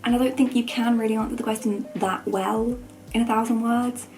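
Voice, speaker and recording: Croaky young woman chatting casually. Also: on the high side, fairly even intonation, a little fast, darkish tone. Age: young adult. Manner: informal, self-deprecating, rambling. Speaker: student vlogger. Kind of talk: casual chat to camera.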